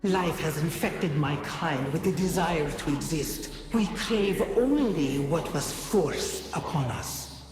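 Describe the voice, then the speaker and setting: An actress voicing a coldly regal, menacing and seductive alien void empress in a video game, slow, solemn and commanding, with otherworldly reverb. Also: female voice, deep voice, lively intonation. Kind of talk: video game character voice lines. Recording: game audio, cavernous reverb, music behind.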